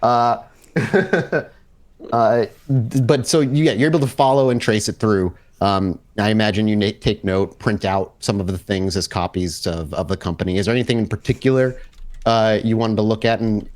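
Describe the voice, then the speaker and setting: A man working as game master, improvising narration casually and haltingly as he thinks aloud. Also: lowish voice, a little mellow.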